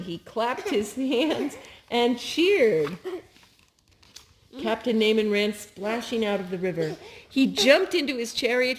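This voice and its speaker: An older woman reading a children's story aloud as a storyteller, with simple, deliberate phrasing for young listeners. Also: a little high.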